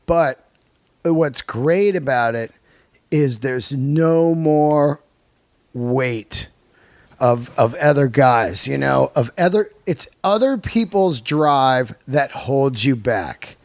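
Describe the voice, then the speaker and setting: A man's voice: mid-range voice, slow pace, dry recording. A man hosting a casual podcast conversation, speaking emphatically and in slangy language, often repeating himself for effect. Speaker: podcast host, comedian and musician.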